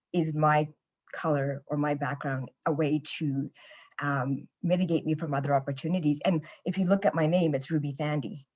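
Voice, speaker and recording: Low-voiced earnest Canadian woman. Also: darkish tone, highs cut off. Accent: Canadian English. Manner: earnest, reflective, with frequent you-know fillers. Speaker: panelist. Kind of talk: personal reflection on a panel.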